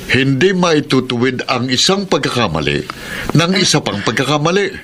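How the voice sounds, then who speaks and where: A man's voice: medium pitch, a bit bright, lightly acted. Faint noise, man acting as middle-aged uncle, mid-range voice, earnest, admonishing, radio drama. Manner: earnest, chiding.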